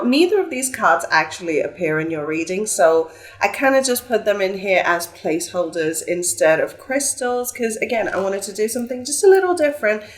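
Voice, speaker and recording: A young woman, a bit stuffy from a flu, chatting upbeat as she gives a pick-a-card tarot reading. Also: medium pitch. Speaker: tarot reader.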